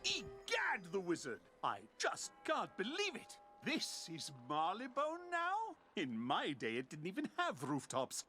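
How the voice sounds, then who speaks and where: An actor playing a bombastic old-time gentleman adventurer in a video game, pompous and theatrical. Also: high-pitched, huge pitch swings, unhurried, faint music behind, full character voice. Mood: boastful, astonished. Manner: pompous, grandiose, exclamatory.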